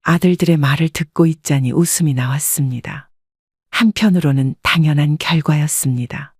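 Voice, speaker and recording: A woman narrating a scripted first-person story in a calm, reflective way. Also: deep voice, normal speed, breathy edge, dry recording, clean studio sound.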